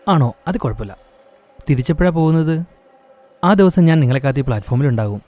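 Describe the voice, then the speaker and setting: A man's voice: fairly high pitch, expressive, a little fast, lightly acted. A man voicing a kind young husband who coaxes and reassures his wife. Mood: friendly. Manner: gentle, coaxing.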